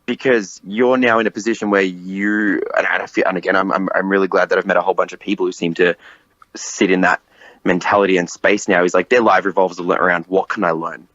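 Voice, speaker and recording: A man's voice: low-pitched, flat delivery, a little fast, fairly bright tone, dry recording, highs cut off. A man in his late twenties hosting a podcast, chatting casually and animatedly, swearing and laughing often. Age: 20s. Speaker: podcast host. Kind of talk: casual conversation.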